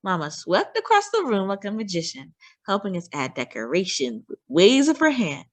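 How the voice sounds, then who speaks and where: A woman reading a children's picture book aloud, expressively and deliberately, with dramatic delivery. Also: medium pitch, wildly swooping pitch, no room sound. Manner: deliberate and dramatic, acting out dialogue. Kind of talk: expressive scripted read-aloud narration.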